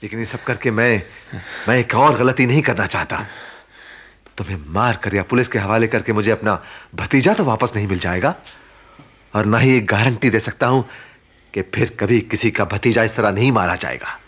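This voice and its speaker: An actor playing a principled, grieving middle-aged uncle in a radio drama, speaking in a husky, emphatic, preaching manner. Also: male voice, on the low side, a bit bright. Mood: grave, restrained. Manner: emphatic, deliberate, preaching with repeated phrases. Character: grieving, principled uncle, stern and morally earnest. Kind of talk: broadcast radio drama acting.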